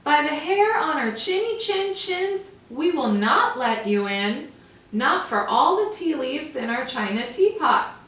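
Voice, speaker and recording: Lady doing tiny wolf cubs, way up high and loud, singsong, roomy echo far off. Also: sing-song, unhurried, full character voice. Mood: defiant. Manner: high chanting refusals. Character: three small frightened wolf cubs, cuddly. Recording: echoey room, mic far off.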